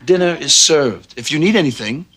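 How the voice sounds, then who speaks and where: Guy doing short sitcom lines, kinda high voice, really swoopy, super noisy old clip. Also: animated, normal speed. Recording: clip with very heavy noise.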